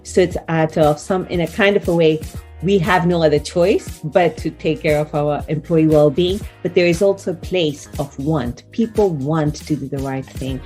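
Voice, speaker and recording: A woman's voice: low voice, moderate pace, mellow, faint music behind. A woman in her forties from Kenya, speaking articulately and earnestly as a guest answering questions in a conversational interview. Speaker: wellness consultant and author, podcast guest.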